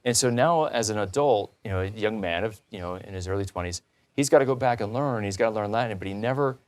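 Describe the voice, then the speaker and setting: A man's voice: on the low side, fast talker, darkish tone. A man hosting a talk show, interviewing conversationally and restating points as he leads into questions.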